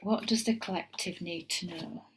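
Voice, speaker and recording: A woman's voice: on the low side, steady tone, normal speed, slight background noise. A middle-aged woman with a Yorkshire accent, giving a casual tarot reading at home and wandering into chatty asides. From England. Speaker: tarot reader.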